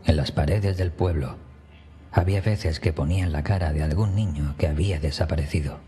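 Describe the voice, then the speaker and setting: A man with a very low voice narrates a scripted horror tale in the first person. His storytelling plays over clearly audible background music. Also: a little fast, dark tone.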